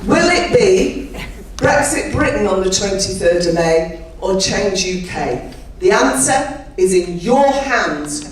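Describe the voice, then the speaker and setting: A woman's voice: medium pitch, sing-song, takes it slowly, recorded from a distance, a little hiss or hum. A woman politician giving a rousing, exhortative campaign speech at a rally. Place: large indoor hall at a rally. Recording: echoey hall, mic from afar.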